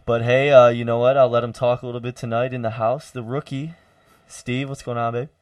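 Loud young man hosting college sports radio. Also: medium pitch, dry recording, clean studio sound. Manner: loud, energetic, halting with many fillers.

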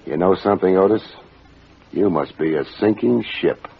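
An actor playing a wry, hard-boiled middle-aged private detective in a 1950s radio drama, sardonic and clipped. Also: male voice, sits low, normal speed, creaky, slight background noise. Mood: mocking. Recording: tinny old radio recording, music behind.

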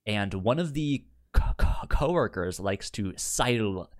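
A man in a casual podcast chat as co-host, speaking haltingly and often repeating syllables and words. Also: on the low side, expressive. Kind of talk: casual chat about sitcom episodes.

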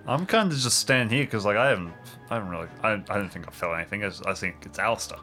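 A man's voice: on the low side, animated, racing, sharp tone. A man playing in an improvised tabletop audio drama, talking casually through his character's actions. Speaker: player. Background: music.

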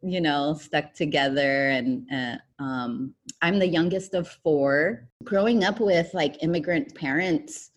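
A young woman from the United States, a guest telling her life story in a relaxed chat, with lots of "um" and "like". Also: low voice, takes it slowly, hardly any pauses. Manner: rambling, many fillers like "um" and "like". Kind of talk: casual interview conversation.

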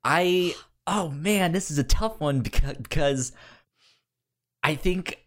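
A man co-hosting a podcast in casual conversation, speaking haltingly and often repeating or tripping over words. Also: a little high. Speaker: podcast co-host. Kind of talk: casual chat.

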